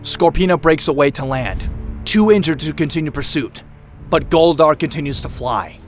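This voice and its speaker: A man narrates an audio drama in a scripted, dramatic style. His voice sits somewhat high, with clearly audible music underneath. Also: animated, normal speed.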